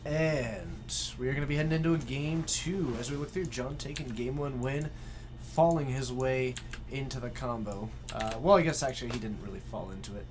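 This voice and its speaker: A man's voice: mid-range voice, normal speed, noisy background. A man in his twenties, commentating a live card game stream from a game store with dry joking banter. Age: 20s.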